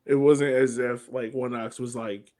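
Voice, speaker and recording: Guy on a soccer podcast, regular pitched voice, informal, kinda thinking out loud while he breaks down the match. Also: level tone, measured pace. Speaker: sports podcast co-host.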